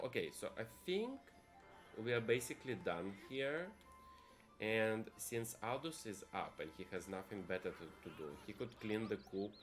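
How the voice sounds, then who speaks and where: A man from Italy with a very deep voice talks through his game on a live stream, chatty and thinking aloud as he goes. Light music plays faintly underneath. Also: a bit bright. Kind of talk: casual live-stream gameplay commentary.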